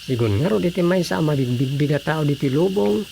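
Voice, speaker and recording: A man's voice: fairly high pitch, lively intonation, brisk, vocal fry, mellow. A man playing a know-it-all, inquisitive everyman in a radio comedy drama, explaining emphatically with an Ilocano accent. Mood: earnest explaining. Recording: faint noise, insect ambience.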